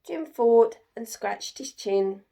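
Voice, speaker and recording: A woman's voice: a little high, expressive, takes it slowly, creaky, warm tone. A woman storyteller reading a children's picture book aloud with expression.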